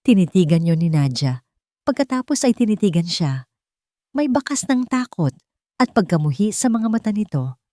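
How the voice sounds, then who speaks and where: A woman's voice: mid-range voice, very animated, normal speed, warm tone, no room sound, clean studio sound. A woman reading dramatic scripted narration as the storyteller of a romance audio drama.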